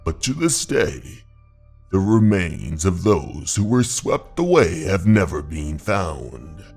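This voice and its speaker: A man voicing a grave, mysterious pipe-smoking mountain dweller in a horror manga dub, speaking in solemn, deliberate tones. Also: very animated, darkish tone, heavily acted. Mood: grave, ominous. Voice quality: low, gravelly. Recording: music under the voice.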